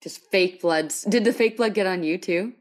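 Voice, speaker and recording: A woman's voice: on the low side, moderate pace. An American woman hosting a casual podcast interview in an enthusiastic, appreciative way. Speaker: podcast host.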